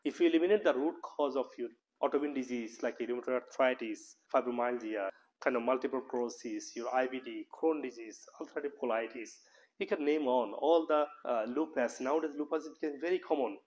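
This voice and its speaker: A man's voice: mid-range voice, brisk, a little mellow. Earnest man with a Bangladeshi accent. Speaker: professor and health practitioner giving a talk. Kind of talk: informal health lecture.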